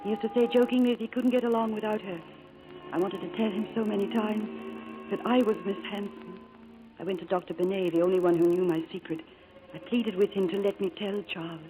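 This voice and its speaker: An actress playing a devoted, yearning wife in a 1940s radio drama, speaking with earnest, restrained wistfulness. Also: female voice, mid-range voice, fairly even intonation, a little fast, natural voice, poor audio. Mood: wistful narration. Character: devoted, yearning wife secretly working as secretary. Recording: old radio broadcast with music.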